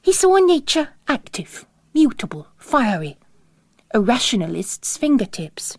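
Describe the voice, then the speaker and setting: A woman's voice: a little high, big pitch swings, measured pace, no echo, clean studio sound. A woman narrating a novel as a scripted literary reading.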